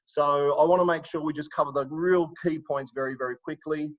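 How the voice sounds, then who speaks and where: A man's voice: fairly high pitch, fairly even intonation, fairly quick, dull audio. A middle-aged Australian man from Sydney, hosting a live coaching webinar in an upbeat, encouraging way, with lots of ums and restarts. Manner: filler-heavy, restarts often, upbeat and encouraging.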